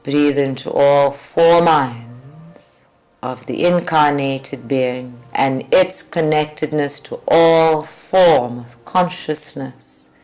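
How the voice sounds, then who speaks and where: Meditation guide, female, unhurried delivery with long pauses, soft music underneath. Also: extremely low pitch, rough recording. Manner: deliberate, measured phrasing with long pauses. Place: group meditation at a creativity centre. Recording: live recording with music behind. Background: faint music.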